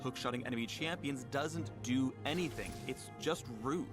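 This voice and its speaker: A man reading scripted promotional narration for a game tutorial, explaining things crisply with wry touches. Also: mid-range voice, normal speed. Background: music under the voice.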